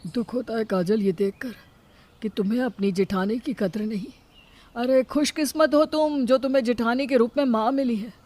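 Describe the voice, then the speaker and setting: A woman's voice: fairly high pitch, normal speed, a little mellow, close to an everyday voice. A woman voicing a protective husband in a story, earnest, reproachful and admonishing. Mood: hurt, reproachful. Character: reproachful, protective elder husband defending his wife.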